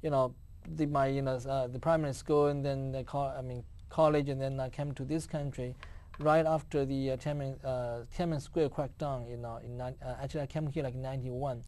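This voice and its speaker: A man's voice: mid-range voice, steady tone, brisk, darkish tone. A man in his forties from China with a Chinese accent, a guest telling his life story with many "you know" fillers. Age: 40s. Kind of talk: interview answers.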